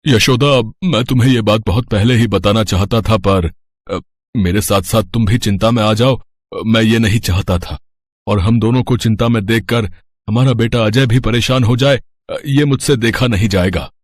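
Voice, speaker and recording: A man's voice: fairly low pitch, normal speed, dry recording, close to an everyday voice. A man playing a worried merchant husband and father, earnest and hesitant, in a devotional story.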